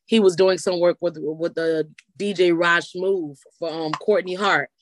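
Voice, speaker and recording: A woman's voice: fairly low pitch, steady tone, unhurried, slight background noise. A woman, a singer and poet answering interview questions in a loose, rambling way, often restarting her words. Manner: rambling, repeats words when starting.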